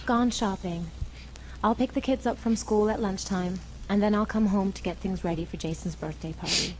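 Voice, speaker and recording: A woman voicing a loving young wife and mother in a video game, speaking softly and affectionately. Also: mid-range voice, normal speed, slight background noise, close to an everyday voice, rough recording. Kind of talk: game character dialogue.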